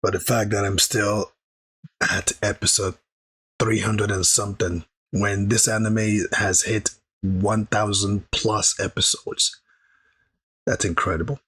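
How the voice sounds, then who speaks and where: Slow, husky-voiced hoarse man. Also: on the low side, flat delivery, fairly bright tone. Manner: chatty, thanks chat viewers between remarks. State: hoarse, recovering voice. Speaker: anime reaction streamer. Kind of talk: live stream reaction commentary.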